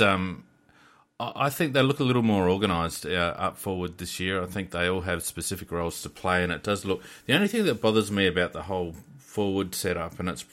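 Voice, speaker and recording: Deep-voiced Australian man, relaxed. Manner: relaxed, hesitant, many fillers. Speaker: podcast co-host. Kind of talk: casual chat about football.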